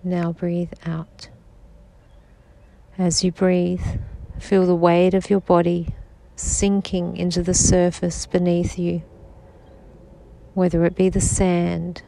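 A woman guiding a sleep meditation in a slow, soft-spoken, soothing manner. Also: fairly low pitch, completely flat delivery, gravelly, rough recording. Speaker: meditation guide.

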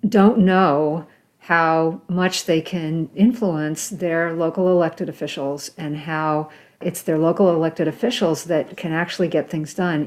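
A woman with a slightly low voice hosts an interview. She speaks in a conversational style, as an interviewer talking with a guest. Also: a little mellow. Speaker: interviewer, host.

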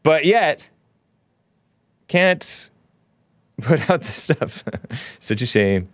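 A man's voice: a little high, big pitch swings, very slow, no room sound, studio quality. A man hosting a casual call-in show, musing aloud in a laid-back way and chuckling often. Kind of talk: casual call-in radio chat.

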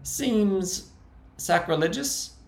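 A man voicing an awkward, high-voiced male survivor with a squeaky tone. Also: animated, drawn-out words, gravelly, a little mellow, full character voice. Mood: wry awkward. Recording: roomy echo.

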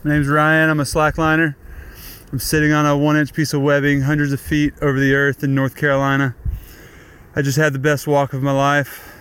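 A man's voice: on the high side, completely flat delivery, a little fast, fairly bright tone, smooth. A man recording a heartfelt, grateful voice message on his phone outdoors. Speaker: listener sending a recorded greeting. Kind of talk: casual voice message. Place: outdoors, high on a slackline.

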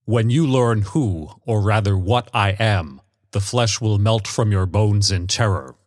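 Man voicing a harsh, monotonous, menacing golem. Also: on the low side, dry recording, clean studio sound, close to an everyday voice. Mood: threatening. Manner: slow, portentous declaiming. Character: gray-faced menacing male golem, pompous and humorless.

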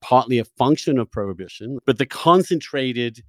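A man's voice: mid-range voice, lively intonation, moderate pace, a bit bright, no echo. Dry-sounding man hosting an earnest interview. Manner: earnest, discursive, frequently rephrasing. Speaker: podcast host. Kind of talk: long-form interview conversation.

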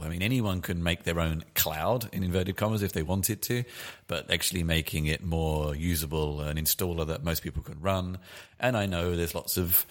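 A man's voice: sits low, fairly bright tone. A man co-hosting a podcast interview, chatty and rambling, laughing easily. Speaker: podcast co-host. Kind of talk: casual interview chat.

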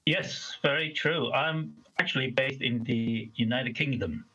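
A man from Malaysia talks casually as a guest, stringing lists of details together. His voice sits at a middling pitch for a man. The sound is a little dull and lo-fi. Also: measured pace, bright, forceful tone, breathy edge. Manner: chatty, listing details. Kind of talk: casual interview talk.

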